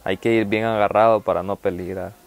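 Young honduran guy, lowish smooth voice, just says it straight. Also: fairly even intonation, a little fast, no room sound. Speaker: migrant. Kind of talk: documentary interview. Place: indoor shelter room.

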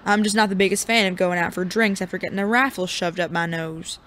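Woman playing a low, surly sheriff. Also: brisk, slight background noise, close to an everyday voice. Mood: wary, sardonic. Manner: curt, grumbling, deadpan. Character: gruff, surly Wild West sheriff, sarcastic and guarded. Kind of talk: audio drama acting.

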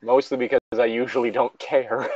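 A man's voice: mid-range voice, fairly even intonation, normal speed, a little mellow, slightly rough, dry recording, dull audio. A man in a casual joking chat, swearing freely as he reads aloud and comments as a co-host.

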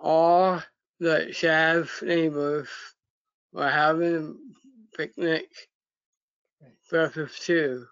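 Very slow, halting man reading aloud. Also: on the high side, steady tone, a bit bright, smooth, no echo. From United States. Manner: halting word-by-word reading with pauses and stumbles. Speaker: story reader. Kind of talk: reading a children's story aloud. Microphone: video call. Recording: dull, lo-fi video call.

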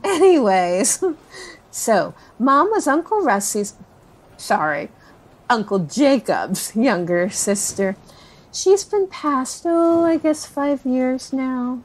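A woman's voice: sits high, animated, takes it slowly, heavily acted. An actress playing a chatty, nervous woman in her forties in an audio drama, rambling through family memories with sighs and self-corrections. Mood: wistful, chatty. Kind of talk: interviewee in a found-footage audio drama.

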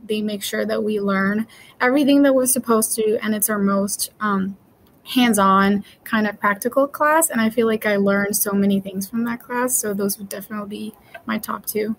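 Young woman from puerto rico, upbeat and earnest, kinda high voice. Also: a little hiss or hum. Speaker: guest, veterinary student. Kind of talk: interview answers.

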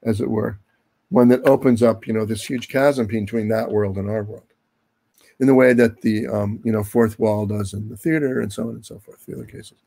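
A man's voice: on the low side, fairly even intonation, moderate pace, creaky, dark tone. A man in his sixties giving an extemporaneous academic talk on a video call, discursive and full of hedging asides. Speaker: academic speaker. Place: book-lined study at home, indoors. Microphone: computer mic on a video call.

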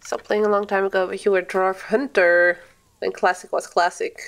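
Young woman, gaming streamer, casual chat, playful exclamations, medium pitch. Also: level tone, moderate pace, vocal fry, fairly bright tone. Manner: playful, exclamatory, chatting to viewers. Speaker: livestreamer.

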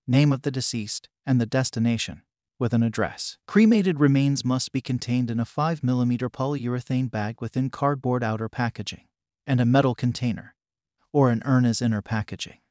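A man narrating an informational script in an even, measured reading voice, recorded close on a voice-over mic. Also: mid-range voice, dry recording.

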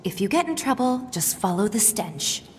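An actress voicing a confident, practical young resistance fighter in a video game, assured and matter-of-fact. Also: female voice, mid-range voice, very animated, normal speed, lightly acted. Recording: echoey tunnel reverb, faint noise.

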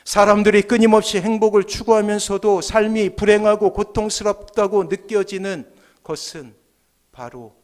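A man in his forties from South Korea preaching a sermon as a pastor, swinging emphatically between hushed and forceful phrases, at a church pulpit microphone. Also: sits high. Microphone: gooseneck podium microphones.

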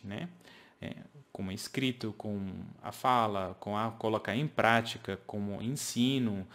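Foreign-accented man teaching to webcam. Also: medium pitch. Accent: English-speaking foreigner speaking Portuguese. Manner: earnest, explaining with hand gestures, makes grammar slips. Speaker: English teacher. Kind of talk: casual video lesson to camera. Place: home office with shelves.

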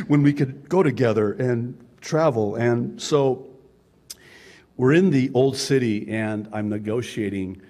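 A man's voice: mid-range voice, slow, long pauses, mellow. Male pastor, 50s, Arabic native speaker, conversational pulpit delivery with chuckling asides. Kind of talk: sermon.

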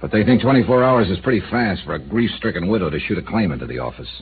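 A deep, dry insurance investigator. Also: male voice, lively intonation, fast talker. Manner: dry, needling interrogation. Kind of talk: 1950s radio drama acting. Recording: tinny old radio broadcast with faint hiss.

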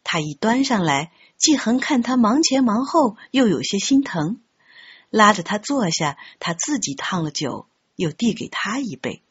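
A woman narrating an audiobook story in an expressive, scripted storytelling style. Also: medium pitch, unhurried, breathy edge, dull audio. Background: background music.

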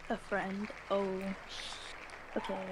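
A young woman co-hosting a casual livestreamed podcast, chatty and teasing with quick asides. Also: mid-range voice, monotone, a little hiss or hum. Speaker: podcast co-host.

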